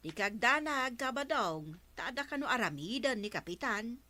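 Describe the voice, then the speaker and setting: A woman's voice: on the high side, big pitch swings, moderate pace, pushed and bright, no echo. A woman with an Ilocano accent playing an inquisitive village confidante in a radio comedy drama. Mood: gossiping.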